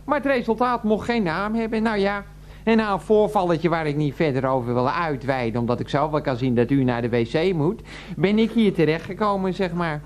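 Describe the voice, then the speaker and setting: An actress playing a chatty, rambling middle-aged psychiatric patient in a radio play, breezy and folksy. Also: female voice, fairly low pitch, fairly quick, darkish tone, close to an everyday voice. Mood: rambling, confiding. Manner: rambling, folksy, repeats a pet phrase.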